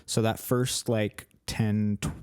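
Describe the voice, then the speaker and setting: A man's voice: on the low side, little pitch movement, slow, darkish tone, smooth, dry recording, clean studio sound. A man in his twenties co-hosting a podcast, talking in an earnest, rambling way with many fillers. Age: 20s. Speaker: podcast co-host. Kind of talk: casual chat.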